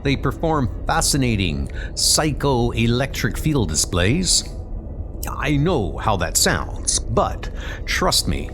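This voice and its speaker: A man acts out a proud undersea king from a superhero comic. He sounds dry and serious, in a mid-range voice with lively movement, while music plays clearly behind him. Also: mid-range voice, slow. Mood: dry reporting. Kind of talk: comic book dub acting.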